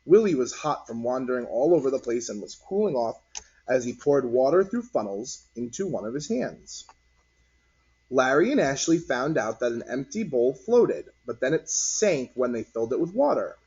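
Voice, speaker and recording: A man reading a children's picture book aloud as narrator, in an expressive, deliberate storytelling manner. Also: mid-range voice, slightly rough. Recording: dull lo-fi recording.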